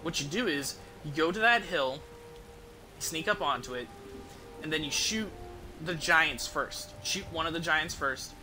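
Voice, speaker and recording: Higher-voiced man rambling through game commentary. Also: expressive, measured pace, music in the background. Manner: rambling, explanatory, casually profane. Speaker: video game streamer. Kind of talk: casual gameplay commentary with tips.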